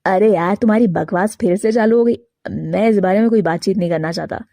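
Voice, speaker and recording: A woman's voice: medium pitch, brisk, gravelly, warm tone, no room sound, clean studio sound, voice pushed down. A woman voicing a carefree, defiant young male crow in a cartoon moral story, talking casually and brushing off objections. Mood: irritated. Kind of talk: Hindi animated moral story character.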